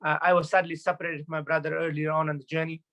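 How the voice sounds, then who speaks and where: A man from Afghanistan in his thirties shares his thoughts as a guest speaker. He talks fast and earnestly, often restarting sentences and dropping in fillers. His voice sits a little high for a man. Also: flat delivery, a bit bright, muffled recording. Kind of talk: personal talk at an online event.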